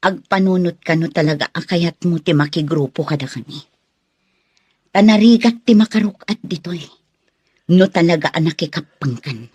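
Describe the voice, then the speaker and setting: A woman's voice: lowish voice, normal speed, darkish tone, husky, dry recording. A woman acting in a radio drama as a knowing elder sister who gives assured, cautioning advice. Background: music.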